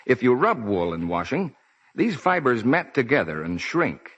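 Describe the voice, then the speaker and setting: Male American announcer, vintage 1940s radio; animated, polished, explanatory, slightly muddy and husky recording. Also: mid-range voice, a little slow. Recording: dull 1940s radio broadcast.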